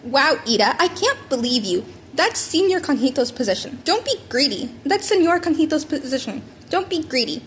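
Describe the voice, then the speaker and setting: A cheeky, stubborn schoolboy acted by a woman with a high, eager voice. He is playful and insistent, like a comic audiobook hero. There is clear background noise. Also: big pitch swings, moderate pace. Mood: snarky. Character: bubbly, stubborn, cheeky teenage boy student. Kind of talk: amateur audiobook character reading. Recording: home recording with background noise.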